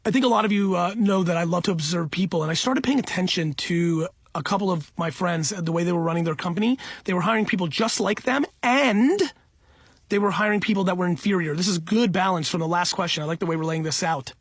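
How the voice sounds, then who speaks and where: A man's voice: high-pitched, quick pace, dry recording. A middle-aged man talking off the cuff for an audiobook in an emphatic, self-assured, blunt and conversational way. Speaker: entrepreneur and company CEO reading own audiobook.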